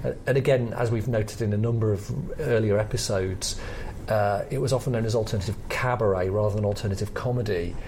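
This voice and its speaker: A man's voice: fairly low pitch, brisk, hardly any pauses, a little mellow, a little hiss or hum. A middle-aged man with a British accent co-hosting a podcast, talking through comedy history in a reflective, hesitant, explanatory way. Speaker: podcast co-host. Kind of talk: conversational analysis of comedy history.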